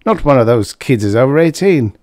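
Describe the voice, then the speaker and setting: A man voicing a brash, tipsy young male drill leader who hiccups and barks orders, in a lively, loud way. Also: mid-range voice, fairly quick, no room sound, clean studio sound, voice pushed up, lightly acted. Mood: tipsy, boastful. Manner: boastful, gruff barking orders.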